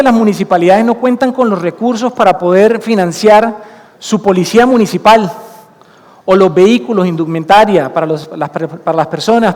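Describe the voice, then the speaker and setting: High-voiced Colombian man in a reverberant hall. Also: darkish tone. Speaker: conference speaker. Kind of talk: conference talk. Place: conference hall stage. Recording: long hall reverb from a conference room.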